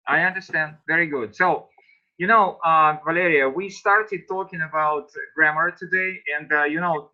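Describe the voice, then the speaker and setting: A man teaching English online, asking students slow, patient questions and explaining grammar. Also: fairly high pitch, pushed and bright, muffled recording.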